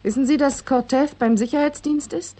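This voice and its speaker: An actress in a 1960s radio play, playing a cheeky, self-assured and frank young woman in her twenties with a husky voice. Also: sits high, fairly even intonation, moderate pace, warm tone. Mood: teasing. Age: 20s. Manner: teasing, frank.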